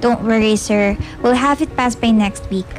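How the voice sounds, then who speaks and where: A woman's voice: fairly high pitch, measured pace, lightly acted. A woman playing an earnest, scolding young female student in a radio drama, speaking with a Filipino accent and mixing in English. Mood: polite, composed. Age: young adult. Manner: indignant, mixes Tagalog and English. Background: faint music.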